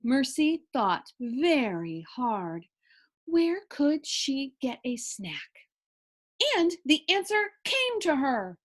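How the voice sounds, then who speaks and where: A woman reading a children's story aloud with expressive narration. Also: high-pitched, slow pace.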